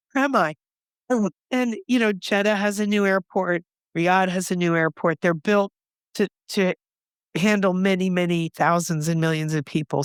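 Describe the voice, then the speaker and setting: Woman podcast host, enthusiastic, smooth voice, dry close recording. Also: mid-range voice, no room sound. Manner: enthusiastic, animated storytelling. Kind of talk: conversational interview.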